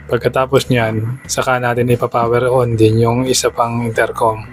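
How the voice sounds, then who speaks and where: A man's voice: medium pitch, little pitch movement, normal speed. Filipino man giving a how-to over music. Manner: plain, instructional, conversational. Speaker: motorcycle vlogger giving a product tutorial. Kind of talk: casual step-by-step how-to narration in a vlog.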